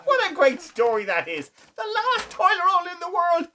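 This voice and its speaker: A man hosting and reading children's stories aloud in a theatrical, exclamatory way with dramatic pauses. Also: very high voice, wildly swooping pitch, sharp tone, slight background noise. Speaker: children's story reader, show host. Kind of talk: animated children's storytelling.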